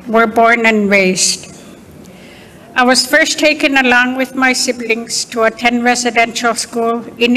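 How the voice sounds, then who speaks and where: Slow-spoken woman in a reverberant hall. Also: on the high side, sharp tone. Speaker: residential school survivor sharing her story. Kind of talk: personal testimony.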